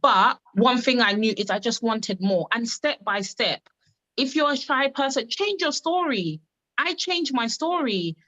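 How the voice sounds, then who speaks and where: Woman hyping people up on a video call, repeats herself for punch, slightly higher voice, muffled audio. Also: animated, measured pace, sharp tone. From Uganda. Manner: emphatic, repetitive, testimony-driven. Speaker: wellness business coach on a live call. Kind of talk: motivational pitch.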